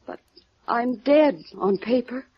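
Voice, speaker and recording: A woman playing a guarded, defiant young runaway heiress, defensive and halting, in a 1950s radio noir drama. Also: a little high, lively intonation, husky, no room sound, full character voice. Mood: shocked, desperate. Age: young adult. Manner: defensive, halting when cornered. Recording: dull, lo-fi old radio recording.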